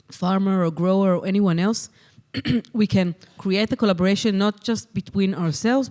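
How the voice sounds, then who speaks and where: Convener at a conference, smooth clear tone, mid pitch, measured delivery with frequent filler pauses, speaking into a handheld mic in a hall. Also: darkish tone. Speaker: session convener. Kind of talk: conference moderation and answers.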